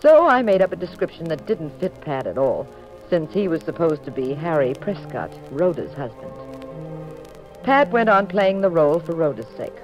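An actress playing a witty, affectionate detective's wife in a mid-century radio mystery, speaking with wry poise. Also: female voice, low-pitched, big pitch swings, normal speed, muffled recording. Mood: wry narration. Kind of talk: mid-century radio drama acting and narration. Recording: old radio recording with music.

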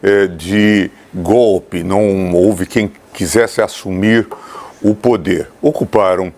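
A man with a Brazilian accent speaking hesitantly and deliberately. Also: low voice, fairly even intonation, darkish tone. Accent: Brazilian Portuguese. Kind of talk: interview remarks.

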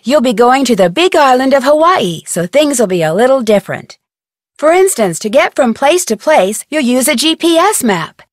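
A woman's voice: a little high, no room sound, clean studio sound, close to an everyday voice. An American woman voicing an upbeat, clever young female detective in a video game, narrating earnestly with wry asides. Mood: friendly explaining.

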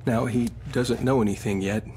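An actor playing a careful army doctor in a war game, speaking gravely and hesitantly. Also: male voice, mid-range voice, steady tone, moderate pace, dark tone, a little hiss or hum, close to an everyday voice. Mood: grave, reluctant. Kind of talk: dramatic game cutscene acting.

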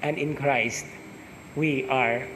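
A man's voice: mid-range voice, steady tone, slow, fairly bright tone. A middle-aged man with a Filipino Cebuano accent preaching a homily at Mass, reflective, mixing English with Cebuano and often adding "no?" after a point. He talks through a face mask into a stand mic at the altar. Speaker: priest. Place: large church altar. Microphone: stand mic in front, wearing a face mask. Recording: echoey church with long reverb, faint hiss.

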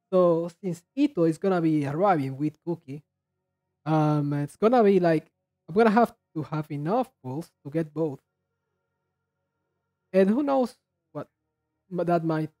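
A man chatting casually and haltingly as he hosts a reaction video, rambling in a low-key way. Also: warm tone. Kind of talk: casual chat, reacting to game trailers.

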